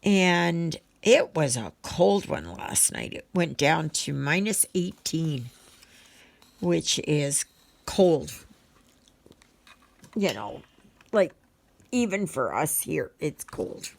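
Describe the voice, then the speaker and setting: A woman talks in a low voice at a very slow pace, with some fry in it. She chats casually while crafting, pausing and wandering from thought to thought as if thinking out loud. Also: very animated. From Canada. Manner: unhurried, halting, thinking aloud. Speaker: craft vlogger. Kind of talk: casual rambling chat while stitching.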